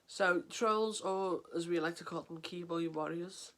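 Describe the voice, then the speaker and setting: An English man vlogging about mental health, talking in an earnest, halting way with lots of fillers and restarts, sniffly and stuffed up from a head cold. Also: a little high, sharp tone. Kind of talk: casual to-camera vlog.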